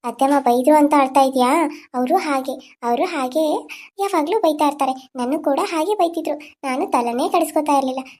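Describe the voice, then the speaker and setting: Tender ghost mother, played by a woman: high, soothing, coaxing. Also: fairly quick, a little mellow, close to an everyday voice. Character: gentle ghost of a young mother.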